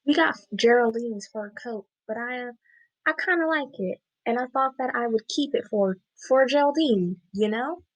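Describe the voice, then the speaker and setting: A woman's voice: fairly high pitch, normal speed, natural voice, rough recording. A woman playing a naive, hesitant farm girl among gangsters in a student radio play. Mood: hesitant, pleading.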